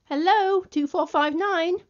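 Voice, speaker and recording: Actor as a very high-pitched, cheery radio wife. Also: female voice, a little slow. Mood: chirpy, doting. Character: cheery, doting 1950s detective's wife. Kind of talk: parody of 1950s radio drama acting. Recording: muffled vintage radio sound.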